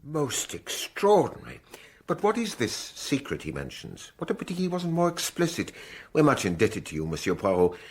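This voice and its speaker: An actor playing a courteous but officious examining magistrate in a radio drama, with fussy, formal politeness. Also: male voice, medium pitch, huge pitch swings, moderate pace, breathy edge, heavily acted. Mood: polite, formal.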